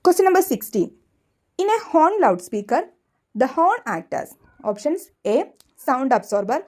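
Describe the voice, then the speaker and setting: A woman tutor with a Malayali accent and a fairly high, soft-edged, mellow voice. She takes her time, reading quiz material item by item with careful enunciation. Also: big pitch swings, very slow. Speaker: exam tutor. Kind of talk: scripted quiz lesson, reading questions and options.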